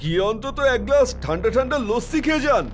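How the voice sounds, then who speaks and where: Guy doing sly hotel food seller, high voice, wheedling, cartoon horror story, music behind. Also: sing-song, fast. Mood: coaxing. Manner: ingratiating, salesman-like patter. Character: wheedling, scheming male roadside eatery owner. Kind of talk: dramatised animated horror story.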